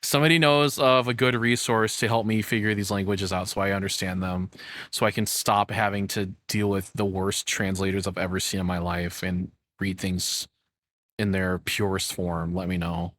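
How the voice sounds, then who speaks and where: A man's voice: low voice, steady tone, normal speed, a bit bright, no room sound. A man giving casual, informal reaction commentary as a streamer, with hesitant asides. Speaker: VTuber reacting to a video.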